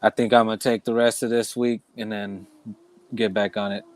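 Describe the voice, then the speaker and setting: A man's voice: lowish voice, little pitch movement, normal speed, slight background noise. A man in his thirties, a guest fighter in a casual interview, easygoing and polite with lots of "yes, sir". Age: 30s.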